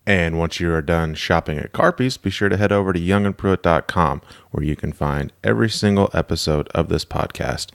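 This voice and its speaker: A man's voice: sits low, brisk, gravelly, few pauses, smooth. A man, sounding nasal from allergies, chatting and asking questions as a podcast co-host. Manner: chatty, inquisitive. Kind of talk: casual podcast chat.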